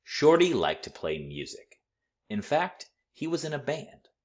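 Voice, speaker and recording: A man reading a children's story aloud with expressive, deliberate, dramatic phrasing. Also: mid-range voice, highs cut off. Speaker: storybook read-aloud reader.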